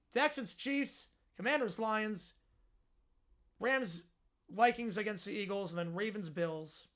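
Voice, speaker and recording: A man hosting a live sports reaction stream, chatting casually and answering viewers, his voice hoarse and worn out. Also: high voice, fairly bright tone. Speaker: livestream host.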